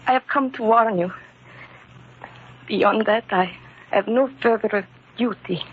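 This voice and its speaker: An actress playing an earnest, wounded immigrant wife with a foreign accent, speaking carefully and formally in an old-time radio drama. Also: female voice, medium pitch, slow, slight background noise, close to an everyday voice. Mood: cold, wounded. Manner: careful, formal, uncontracted speech. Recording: muffled, dull old radio recording.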